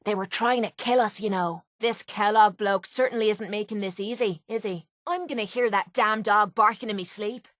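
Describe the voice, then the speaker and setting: A woman's voice: medium pitch, moderate pace, hardly any pauses, a bit bright, no room sound, clean studio sound, close to an everyday voice. A woman playing a cynical, defiant young female brawler in a video game, with an Irish accent and a blunt, sardonic, slangy delivery. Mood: sardonic, irritated. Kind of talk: video game character dialogue.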